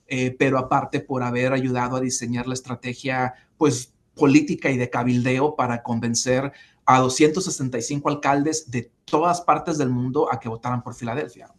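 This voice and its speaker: A man from Mexico in his forties, giving long, measured interview answers full of fillers and restarts. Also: mid-range voice, little pitch movement. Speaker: interview guest.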